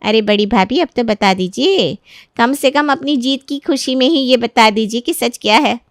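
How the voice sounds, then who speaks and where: A woman's voice: a little high, moderate pace, no room sound, studio quality, close to an everyday voice. A woman voicing a cocky young female college senior who taunts and bosses, acted for a moral story. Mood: taunting. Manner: taunting, bossy. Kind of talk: Hindi moral story character acting.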